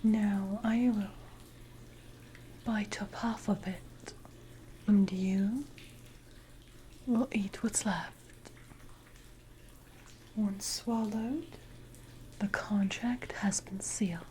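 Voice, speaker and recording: Siren, female performer: haughty sea predator for ASMR roleplay, soft dark whisper, unhurried and condescending, dripping water behind. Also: mid-range voice, steady tone. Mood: solemn, commanding. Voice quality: breathy, mostly unvoiced whisper. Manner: slow, deliberate, condescending, archaic phrasing. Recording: noisy ambience with water drips.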